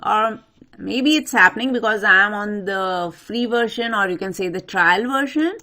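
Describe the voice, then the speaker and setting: A woman presenting an unscripted software tutorial, talking the viewer through each step in an instructional way. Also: mid-range voice, normal speed. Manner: instructional, addresses viewer directly. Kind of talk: step-by-step screen walkthrough, unscripted.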